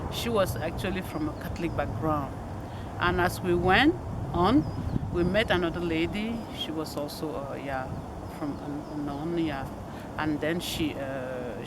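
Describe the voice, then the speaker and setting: A Belgian woman missionary, a native French speaker, answering in English with halting speech and many restarts. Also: sits low. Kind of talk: casual interview answers. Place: outdoors by a lake. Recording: outdoor noise.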